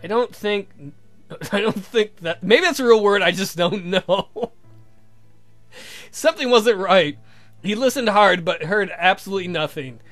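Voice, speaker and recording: Male game host, animated intonation, flippant asides, stumbling read-aloud narration, background music. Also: high-pitched, measured pace, long pauses. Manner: stumbling over words, flippant. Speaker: let's play host. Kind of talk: reading a visual novel aloud with joking asides. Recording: music behind the voice.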